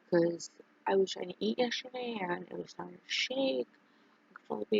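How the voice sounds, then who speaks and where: Streamer, casual chat; heavy vocal fry, breathy edge, dull lo-fi recording, rambling delivery. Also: mid-range voice. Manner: rambling, halting, many fillers and restarts.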